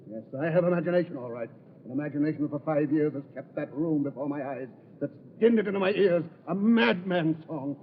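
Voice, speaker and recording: A nervous, guilty swindler of a bridegroom played by a man, pitched a little high. He blusters and frets with full vintage radio horror melodrama. The old recording is somewhat dull, with light noise behind the voice. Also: a little fast, close to an everyday voice. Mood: anguished, reluctant.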